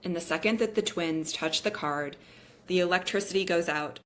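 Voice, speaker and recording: A woman's voice: lowish voice, normal speed, heavy background noise. A woman reading the scene directions of a script as narrator at a table read.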